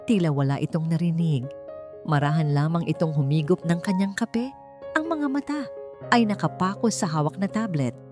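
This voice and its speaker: A woman's voice: sits low, a little mellow. A woman reading a scripted dramatic family story as its narrator. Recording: music behind the voice.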